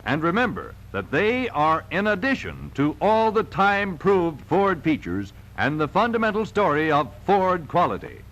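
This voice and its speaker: A male narrator from a 1940s promotional film, pitched fairly high and moving in big, lively swings. He delivers polished sales copy with firm emphasis on key words. The sound is that of a vintage film soundtrack. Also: normal speed, few pauses, low-quality recording.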